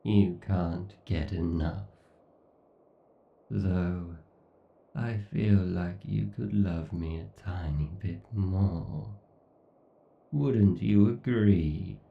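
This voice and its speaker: A man voicing an arrogant, obsessed, sadistic AI god in a horror roleplay, pompous and menacing, with theatrical pauses. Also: sits low, vocal fry, reverberant, far from the mic, highs cut off, heavily acted. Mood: smug, possessive. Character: obsessed, sadistic, arrogant godlike male AI villain. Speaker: voice actor. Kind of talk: horror ASMR roleplay monologue.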